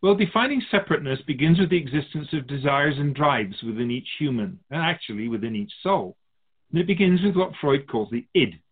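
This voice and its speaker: A man's voice: a little high, expressive, brisk, slightly rough, phone-quality sound. A man playing an otherworldly keeper of souls in a radio drama, a wise, teasing mentor who muses theatrically in rhetorical questions. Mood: lecturing. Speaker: spirit guide in a radio drama.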